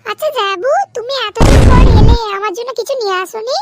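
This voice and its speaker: A woman with a West Bengal accent voicing a naive, coy, demanding young woman in an adult comedy cartoon, with a squeaky, exclamatory delivery. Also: brisk, heavy background noise. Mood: surprised, demanding.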